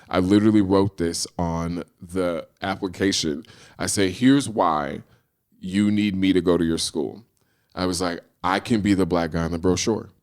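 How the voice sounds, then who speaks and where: A middle-aged man with a low voice, a podcast guest who tells his stories animatedly and acts out the dialogue. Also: darkish tone. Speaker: guest, screenwriter and actor. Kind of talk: casual storytelling in a podcast interview.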